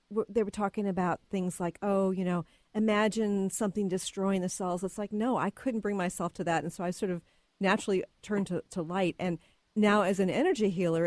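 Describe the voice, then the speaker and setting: A woman hosting a radio talk show, chatting casually with a guest, often pausing with fillers. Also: on the low side, darkish tone, no room sound. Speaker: radio talk show host and energy healer. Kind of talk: casual interview chat.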